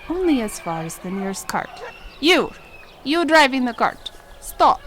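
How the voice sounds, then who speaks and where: A compassionate young queen in a medieval audio drama. Her fairly high voice is slow, earnest and resolute, with clear animal and outdoor sounds behind. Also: very animated, noisy background. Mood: commanding. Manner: earnest, formal, theatrical.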